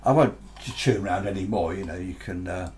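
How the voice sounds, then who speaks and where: Older British man, low-pitched, chatty. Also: fairly quick, darkish tone, slight background noise. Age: 60s or older. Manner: chatty, rambling, with tag questions. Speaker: presenter reviewing radio gear. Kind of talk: casual unscripted product demonstration. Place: small cluttered indoor radio workshop.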